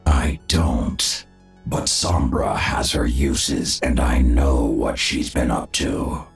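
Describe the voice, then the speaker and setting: Brooding dark mercenary, growling, extremely low, slow, menacing, video game villain, music behind. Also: male voice, heavily acted. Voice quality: growling, gravelly.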